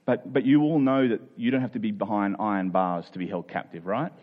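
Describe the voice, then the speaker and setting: A man's voice: fairly low pitch, steady tone, brisk, phone-quality sound. A man preaching a sermon in plain conversational words, earnest, posing rhetorical questions and restating phrases for emphasis.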